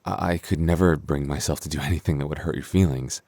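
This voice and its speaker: Man voicing a very deep, gravelly seductive incubus. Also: fairly even intonation, dark tone, no echo, heavily acted. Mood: coaxing, devoted. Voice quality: gravelly, husky.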